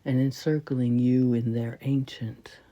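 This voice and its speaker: A woman leading a guided meditation in a soft, breathy voice that often falls toward a whisper, speaking reverently in short, measured phrases. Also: a bass voice, fairly even intonation, lo-fi sound. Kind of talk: slow spoken guided meditation.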